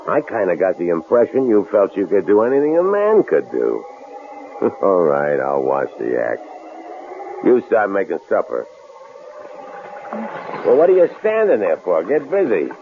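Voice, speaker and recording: A deep, gravelly, menacing killer. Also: male voice, huge pitch swings, unhurried, long pauses, full character voice. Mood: mocking, bullying. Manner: taunting, folksy, drawling commands. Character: menacing, bullying male killer, mockingly familiar. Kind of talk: radio suspense drama acting. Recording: muffled, noisy old radio recording.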